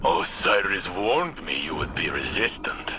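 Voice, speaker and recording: Deep, slow Russian-accented warrior. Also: male voice, big pitch swings, background noise. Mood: solemn, earnest. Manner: formal, deliberate phrasing. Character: noble veteran robot warrior, grave and earnest. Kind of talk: video game voice acting.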